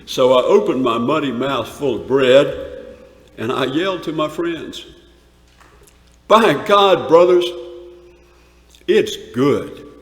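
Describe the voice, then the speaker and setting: A man performing a dramatic monologue as a rough, awestruck shepherd recalling a wondrous night, with emphatic pauses. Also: medium pitch. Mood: exultant. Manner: emphatic, dramatic pauses, swells from hushed to shouted. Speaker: dramatic reader. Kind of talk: theatrical dramatic monologue reading. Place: large church, live performance. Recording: echoey church hall, long reverb.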